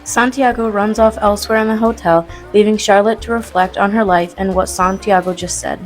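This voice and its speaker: Narrator for a student radio play: woman, mid-pitched, scripted read over prominent music. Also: steady tone, brisk, rough recording. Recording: music playing clearly behind the voice.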